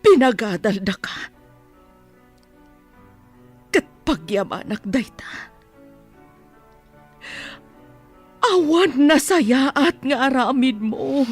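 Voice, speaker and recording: A woman playing an anguished high-voiced Ilocano mother. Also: lively intonation, husky, exaggerated voice. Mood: tearful reproach. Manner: tearful, drawn-out pleading. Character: anguished, pleading mother of a wayward grown son. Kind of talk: Ilocano radio drama acting. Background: faint background music.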